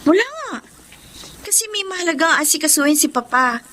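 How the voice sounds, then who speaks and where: An actress playing a dutiful, sensible young daughter about to marry, in a Filipino radio drama. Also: female voice, very high voice, very animated, a little fast, pushed and bright, exaggerated voice. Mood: weary. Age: young adult. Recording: faint ambient noise behind.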